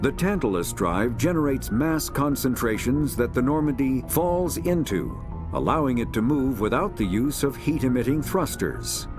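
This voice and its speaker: A man narrating a science fiction game's encyclopedia in a measured, formal, authoritative documentary style. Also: mid-range voice, sing-song, natural voice. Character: formal male narrator of a sci-fi codex. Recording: music behind the voice. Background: clear background music.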